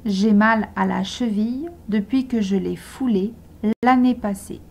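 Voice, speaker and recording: Slow, husky-voiced woman reading sentences. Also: mid-range voice. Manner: slow, carefully articulated dictation. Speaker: language teacher. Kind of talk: slow model sentences for learners.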